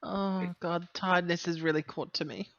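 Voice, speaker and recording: A man playing a tabletop game over a voice call, talking casually and haltingly as he thinks out loud. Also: sits high, fairly even intonation, dry recording, dull audio. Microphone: online voice call.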